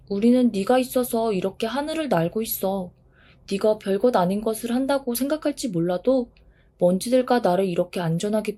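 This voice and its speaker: A woman's voice: medium pitch, warm tone, close to an everyday voice. A woman voicing a kind dandelion seed in a storybook reading, soothing and reassuring. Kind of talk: storybook voice acting.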